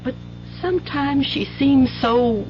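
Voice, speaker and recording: A woman's voice: high voice, slow, slight background noise. An actress playing an anxious, doting rural mother in a 1970s radio drama, with folksy, tender speech. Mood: worried, pleading. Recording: muffled old radio broadcast, music bed.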